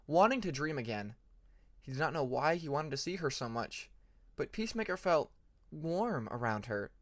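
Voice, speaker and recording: A man narrates a story from a script with earnest drama. His voice is a little higher than average for a man. It is recorded close and very dry, with no room sound. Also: fairly bright tone, no echo. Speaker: audiobook narrator.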